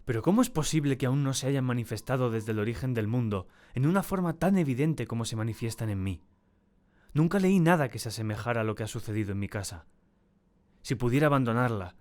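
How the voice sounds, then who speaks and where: A man acting a tormented, terrified diarist in a dramatic first-person audiobook narration, with a solemn and deliberate, theatrical delivery. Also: mid-range voice, lively intonation, a little mellow, lightly acted. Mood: uneasy, brooding. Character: tormented, terrified man confiding in his diary.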